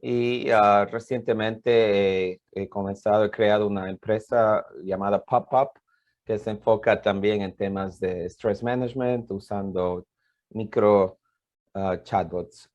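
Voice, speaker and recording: A man's voice: fairly low pitch, fairly even intonation, slow, darkish tone, muffled recording. A man with an Ecuadorian accent giving an informal, explanatory conference talk as a professor, hesitant and often repeating words. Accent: Ecuadorian Spanish.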